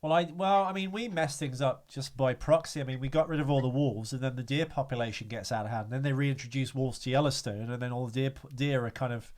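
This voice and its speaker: A man's voice: mid-range voice, fast, hardly any pauses, a little mellow. A man hosting a podcast in casual chat, telling things in a rambling, wryly understated way. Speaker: podcast host.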